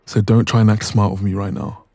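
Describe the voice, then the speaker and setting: A man playing a dominant, cocky young ex-boyfriend in an intimate audio roleplay, soft and breathy, smug and casual, close to the microphone. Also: lowish voice, flat delivery, a little fast, no room sound, close to an everyday voice. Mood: accusing, restrained.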